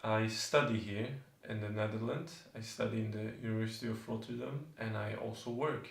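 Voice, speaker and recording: Man, twenties, Turkish accent. Reflective documentary interviewee, hesitant phrasing, middling-to-low pitch. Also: steady tone, fairly quick, creaky, roomy sound. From southern Turkey. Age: 20s. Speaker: student, documentary subject.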